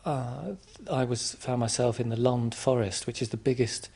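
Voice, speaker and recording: Man, from England, interviewee, hesitant, reflective, many restarts, mid pitch. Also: fairly even intonation, moderate pace, gravelly, warm tone, husky. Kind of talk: conversational interview.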